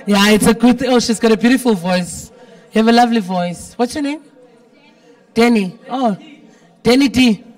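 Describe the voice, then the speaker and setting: A woman's voice: a little high, moderate pace, long pauses, a little mellow. Playful South African woman hosting, slightly distant. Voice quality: big, heavy-timbred voice. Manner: playful, chatty, teasing. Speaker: event host. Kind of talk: informal live event hosting with joking banter. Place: event venue with a dinner audience. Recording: live event, distant from the mic.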